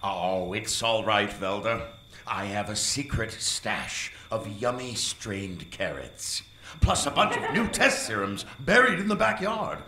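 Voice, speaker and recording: A man's voice: lowish voice, sing-song, measured pace, pushed and bright, heavily acted. A man playing a pompous, indignant research scientist in a comic radio play, with wordy, theatrical delivery, recorded live on a stage. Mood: mollified, scheming. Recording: echoey live theater stage, faint noise.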